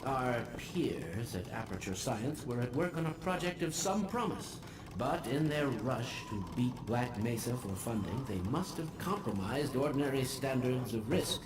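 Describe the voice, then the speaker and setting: A man voicing a learned, earnest scientist in a video game, speaking in a theatrical, formal and deliberate way. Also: mid-range voice, sing-song. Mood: grave wonder. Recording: echoey, distant, noisy game audio.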